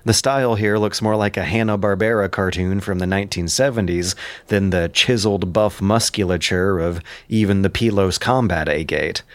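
A man's voice: low-pitched, no echo. A man giving scripted commentary in an articulate, bookish way with dry asides. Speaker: writer and narrator of an audio drama.

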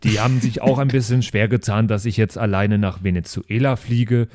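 A man's voice: fairly low pitch, fairly even intonation, moderate pace, darkish tone, no echo, lightly acted. A man playing a chatty, self-satisfied retired German grandfather, earnest and rambling, in an improvised role-play podcast. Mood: mild, unworried.

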